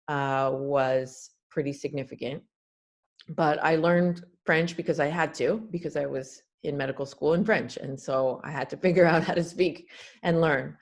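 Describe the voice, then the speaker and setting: A woman's voice: low voice, creaky. A woman from Canada, a guest doctor answering webinar questions on a video call, earnest and explanatory with frequent fillers.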